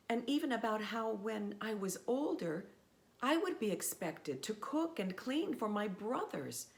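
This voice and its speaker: A woman reading a children's storybook aloud in a measured, expressive way with pauses. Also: medium pitch, vocal fry.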